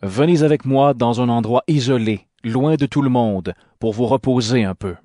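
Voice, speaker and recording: Medium male voice, actor playing calm authoritative teacher, measured, instructive, audio Bible drama, dry recording. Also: medium pitch, no room sound, close to an everyday voice. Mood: gentle.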